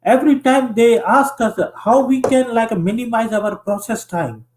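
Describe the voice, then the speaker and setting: A man's voice: extremely high pitch, moderate pace, noisy background. A man in a casual conversation who talks hesitantly and leans on fillers.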